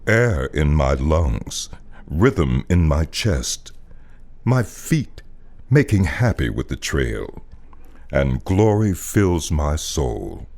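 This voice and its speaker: American male poetry reader, extremely deep voice, dramatic and wide-ranging delivery. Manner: deliberate, expressive, theatrical phrasing. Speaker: voice artist reading a poem.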